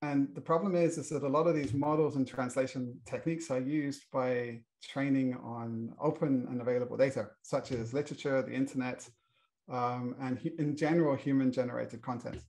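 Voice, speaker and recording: A man's voice: medium pitch, fairly even intonation, normal speed, a little mellow, low-quality recording. A middle-aged British man moderating a panel, introducing the topic with many fillers and restarts. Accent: British English. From Britain.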